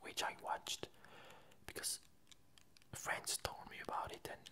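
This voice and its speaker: Slow, whispered ASMR rambling. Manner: hesitant, unhurried, meandering. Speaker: ASMR channel host.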